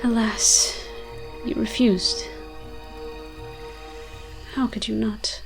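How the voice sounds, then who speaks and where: A woman's voice: on the high side, flat delivery, extremely slow, vocal fry, over music, exaggerated voice. A woman voicing an ancient, moss-covered forest demon in an audio roleplay, tender and protective, speaking in solemn, poetic, archaic storytelling phrases. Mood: wistful, regretful.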